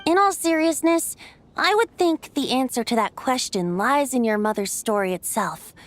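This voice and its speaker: A woman's voice: high-pitched, expressive, normal speed, a little hiss or hum. A woman playing a polite, playful young woman in a fantasy video game. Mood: thoughtful. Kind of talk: video game character acting.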